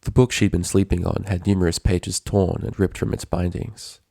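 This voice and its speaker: A man reading a scripted story as narrator, in a measured, dramatic storytelling manner. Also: sits low, little pitch movement, vocal fry, warm tone, dry recording, clean studio sound.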